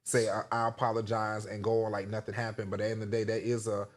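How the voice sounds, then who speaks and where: A man speaking as a talk show panelist in unscripted discussion, earnest and halting, often repeating his words. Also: fairly low pitch, monotone.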